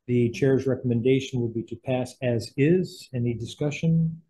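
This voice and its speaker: A man with a very dark, mellow voice calls the roll and reads out measures at a committee hearing. He is brisk and procedural and speaks into a desk microphone in a legislative hearing room. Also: medium pitch, slight background noise, low-quality recording. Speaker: committee vice chair. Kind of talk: formal roll call.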